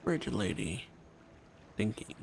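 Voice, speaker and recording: A man's voice: fairly low pitch, sing-song, slow, creaky. A man giving casual, offhand commentary on a game, muttering short remarks as he watches. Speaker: game reaction video host. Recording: game music behind the voice. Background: game dialogue and music.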